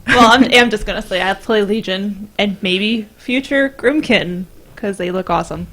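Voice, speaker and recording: A woman's voice: mid-range voice, normal speed, fairly bright tone. A young woman co-hosting a podcast, talking casually into a table microphone. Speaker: podcast co-host. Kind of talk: casual chat. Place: small room at a round table. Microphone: podcast microphone on boom arm.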